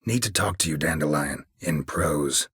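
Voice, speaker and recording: An actor playing a gruff, weary, stoic monster hunter in a video game, a gravelly voice and a terse, deadpan delivery. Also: male voice, normal speed, no echo, heavily acted. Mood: matter-of-fact, grim. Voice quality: husky, gravelly low voice. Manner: terse, clipped, deadpan, dry. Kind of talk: video game character dialogue.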